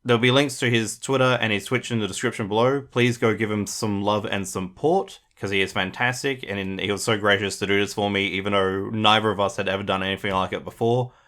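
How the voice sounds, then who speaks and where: Male host for a video intro: crisp bright edge on a fairly low voice. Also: fairly even intonation, fairly quick, few pauses. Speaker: channel host.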